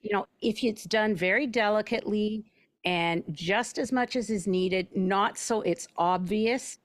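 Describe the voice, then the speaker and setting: A woman's voice: mid-range voice, unhurried, dry recording. A woman with a mid-range voice, recorded dry and close, chats as a panelist. She is candid and wry in a casual, conversational style. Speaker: panelist, audio drama creator.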